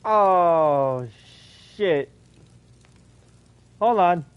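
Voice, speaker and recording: A woman's voice: on the low side, animated, drawn-out words, clear, resonant tone. A woman giving casual, sweary reactions while playing a video game. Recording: muffled, faint background noise.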